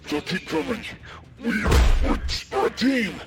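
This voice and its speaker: High male voice, masked vigilante, husky strained rasp, urgent, swooping pitch, distant call, audio drama. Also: very animated, a little slow, soft background music, full character voice. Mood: strained urgency.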